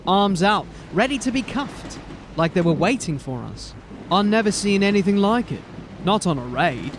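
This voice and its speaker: A man's voice: high voice, very animated, normal speed, slight background noise. An uneasy watchman. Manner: chatty, uneasy, colloquial. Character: chatty uneasy young male watchman. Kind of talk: audio drama acting.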